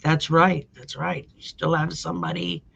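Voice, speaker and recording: A woman's voice: deep voice, steady tone, moderate pace, creaky, fairly bright tone, husky, lo-fi sound. A woman with a Cajun Louisiana accent hosting a live stream, giving a casual, folksy tarot reading with frequent ums. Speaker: host and tarot reader.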